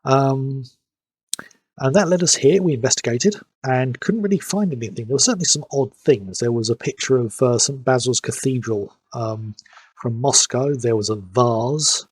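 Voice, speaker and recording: A man streaming a game, his voice a little husky and stuffed up from hay fever. He rambles through recaps with plenty of filler, recorded close and dry with no room sound. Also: mid-range voice, no echo. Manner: rambling, fills pauses with "um". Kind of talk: casual live-stream commentary.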